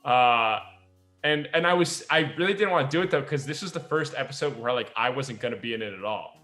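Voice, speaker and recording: Young man chatting in a bright, echoey room. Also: mid-range voice, lively intonation, a little fast, faint music behind. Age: young adult. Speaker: podcast host and audio drama writer. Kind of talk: casual chat.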